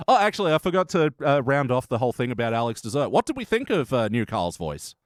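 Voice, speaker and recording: A man's voice: medium pitch, sing-song, fast, no echo. Casual man chatting, dry close sound. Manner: rambling, informal, swears freely. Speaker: podcast host reviewing TV episodes. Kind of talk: casual review chat.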